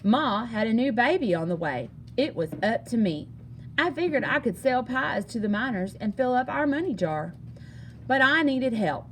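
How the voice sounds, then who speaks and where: A woman reading a children's story aloud in an animated, expressive way with emphatic delivery. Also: fairly high pitch, moderate pace, a little hiss or hum. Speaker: storybook reader.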